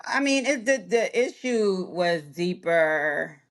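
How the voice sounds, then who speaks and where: A woman in her early forties, originally from Jamaica, talking as a podcast guest. Her voice sits a little low, and she tells her story in loose, circling sentences, stopping often to correct herself and laughing along the way. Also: expressive, slow pace. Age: early 40s. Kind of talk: casual interview chat.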